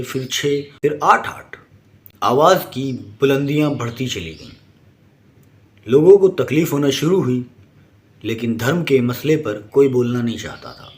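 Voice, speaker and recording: A man's voice: medium pitch, a little mellow. A man narrating a scripted explainer in an emphatic, didactic manner. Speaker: presenter.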